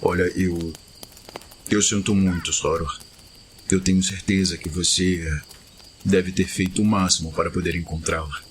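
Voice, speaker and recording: Low-voiced, gentle male paladin, played by a man. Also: level tone, a little hiss or hum, natural voice. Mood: sympathetic, careful. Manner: halting, gentle. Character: kind, awkward, remorseful male paladin adventurer. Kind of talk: audio drama acting.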